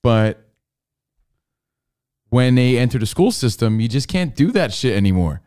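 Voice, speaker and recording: A man's voice: medium pitch, very animated, unhurried, darkish tone, smooth. A man talking in a casual podcast chat. He is a parent and rambles in a laid-back, jokey way. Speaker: podcast co-host.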